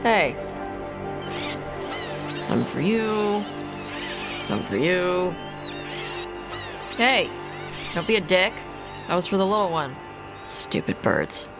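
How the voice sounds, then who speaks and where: A woman's voice: on the low side, very animated, slow, long pauses, over music, heavily acted. A woman playing a blunt, prickly young horned warrior who breathes fire, informal video game dialogue. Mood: annoyed.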